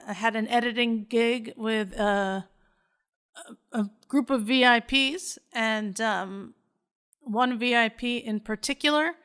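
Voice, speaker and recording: A woman's voice: on the high side, a bit bright, clear, resonant tone. A middle-aged woman hosting her podcast solo, chatting loosely with lots of ums and uhs. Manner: chatty, rambling, many fillers. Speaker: podcast host. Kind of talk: casual solo podcast chat.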